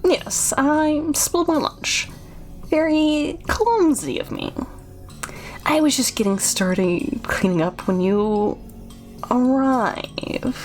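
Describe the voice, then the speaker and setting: Girl doing a creepy hunched innkeeper guy from a game, lispy n weirdly polite, talks slow. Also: high voice, soft background music, pitched above the performer's own voice. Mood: evasive, polite. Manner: lisping, oddly emphasized words. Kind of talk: video game character read-aloud.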